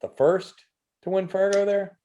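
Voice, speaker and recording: A man's voice: high voice, steady tone, moderate pace, mellow. A middle-aged man hosting a casual video call interview, chatty and keen on wrestling. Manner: chatty, enthusiastic about wrestling. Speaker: show host. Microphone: video call webcam. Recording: dull lo-fi webcam audio.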